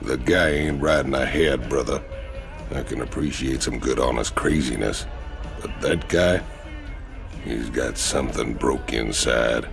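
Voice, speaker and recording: An actor playing a crude, blunt outlaw soldier in a video game, with a gravelly voice and folksy slang. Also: male voice, a little slow, exaggerated voice. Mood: scornful, grumbling. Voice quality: gravelly, very deep. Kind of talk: video game cutscene acting. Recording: music behind the voice. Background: game soundtrack music.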